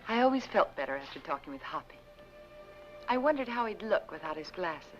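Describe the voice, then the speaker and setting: A dull vintage recording with clear music underneath carries a gentle, motherly wife with an ill husband. Her delivery is kindly and anxious, with lively, feeling-filled swings of pitch in an old-fashioned dramatic manner. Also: brisk. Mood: reflective. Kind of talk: old-time dramatic acting with confiding narration.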